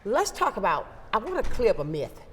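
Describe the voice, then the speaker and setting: Animated upbeat woman talk show host. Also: mid-range voice, a little fast, big-room sound, slight background noise. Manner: warm, emphatic, upbeat. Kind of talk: local morning talk show. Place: local TV studio.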